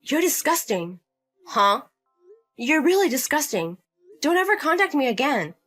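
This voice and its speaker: A woman performs a young wife who has had enough, in a narrated story drama. She speaks in short, cold lines and repeats herself firmly, her voice raised high and sharp with brightness. A faint music bed runs under her. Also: sing-song, unhurried, full character voice. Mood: disgusted.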